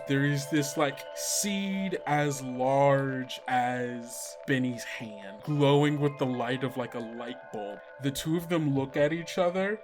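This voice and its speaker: Slow, suspenseful man as game master. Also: medium pitch. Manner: suspenseful, deliberate phrasing. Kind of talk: dramatic tabletop game narration.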